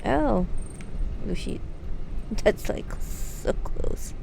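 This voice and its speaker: A woman's voice: medium pitch, animated, drawn-out words, vocal fry, noisy background. A woman giving casual live commentary as she streams a video game.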